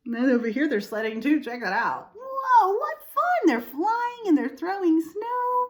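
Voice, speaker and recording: A woman reading a picture book aloud to young children, pausing to explain things simply and ask questions. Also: extremely high pitch. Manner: expressive, asks the listener questions, explains simply. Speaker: storytime reader for young children.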